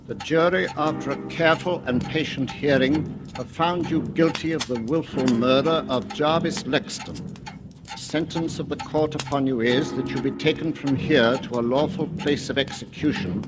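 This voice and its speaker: An actor playing a solemn judge formally passing a death sentence, in 1940s radio acting. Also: male voice, a little high, normal speed, natural voice. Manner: grave, formal. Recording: old radio with loud music behind.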